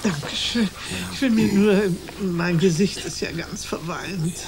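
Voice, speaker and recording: A woman's voice: lowish voice, measured pace, very noisy, lightly acted. An actress playing a fussy, tearful lady who owns a pug, in a theatrical, gushing radio play manner.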